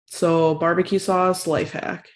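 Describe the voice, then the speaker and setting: A woman's voice: lowish voice, flat delivery, slow pace. Casual woman podcast co-host. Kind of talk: casual chat.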